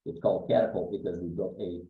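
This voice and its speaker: An older man from Northern California, a winemaker explaining wines in a relaxed way with many fillers, recorded from a distance. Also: sits low, fast. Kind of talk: explaining wines in a virtual tasting. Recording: distant, echoey, phone-like sound.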